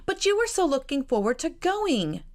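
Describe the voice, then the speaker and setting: A woman voicing a gentle, weary, peacemaking elder sister in a Regency storybook, soft and diplomatic. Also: high voice, big pitch swings, normal speed, pitched above the performer's own voice. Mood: gently pleading.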